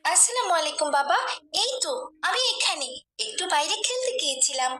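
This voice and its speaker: A woman's voice: very high voice, sing-song, fairly quick, pushed and bright, echoey room, exaggerated voice. A woman voicing a willful, sulky young daughter in a moral cartoon. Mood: apologetic. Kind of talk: cartoon dialogue.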